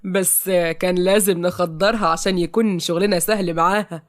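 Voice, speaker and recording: A woman doing a slow, gloating Egyptian villain. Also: lowish voice, fairly even intonation, lightly acted. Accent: Egyptian Arabic. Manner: slow, mocking drawl. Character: sly, gloating male villain kidnapper.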